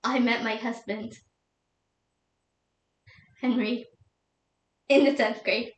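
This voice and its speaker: A woman playing a grieving young widow in a solo dramatic monologue, emotional and halting, tearful and doubting God. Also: fairly high pitch, fairly even intonation, muffled recording, pitched below the performer's own voice. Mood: wistful reminiscing. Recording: echoey room.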